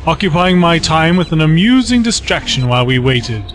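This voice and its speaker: A man voicing a cold, scheming male villain in an audio drama, commanding and measured. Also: a little high, very animated, fairly bright tone, soft background music. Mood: gloating.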